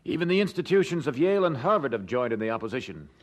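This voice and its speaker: An actor playing a courteous, supportive colonial gentleman in an audio drama, polite and formally conversational. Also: mid-range voice, big pitch swings, fast talker, close to an everyday voice. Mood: concerned, frank.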